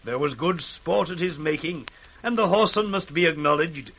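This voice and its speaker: Jokey older british lord, bit high voiced, courtly, faint hiss old radio play. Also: male voice, moderate pace, fairly bright tone. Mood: jocular, boastful. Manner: jocular, courtly. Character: worldly, bawdy older nobleman, jovial father of two. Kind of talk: 1960s British radio Shakespeare acting.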